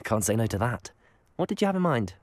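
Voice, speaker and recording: A man's voice: medium pitch, very animated, a little fast, dry recording, natural voice. A man playing a young wizard student in a video game, polite, keen and composed, with an understated delivery. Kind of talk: video game character acting.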